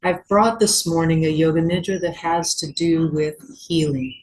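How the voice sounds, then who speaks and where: A woman yoga teacher leading a guided meditation in a gentle, unhurried manner with long pauses. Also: deep voice, fairly even intonation, rough recording.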